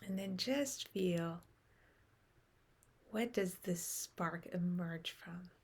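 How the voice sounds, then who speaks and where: A woman leading a guided meditation in short, unhurried phrases with long pauses, softly trailing into breath at the ends. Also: fairly low pitch, slightly rough. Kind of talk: guided meditation, unscripted and contemplative.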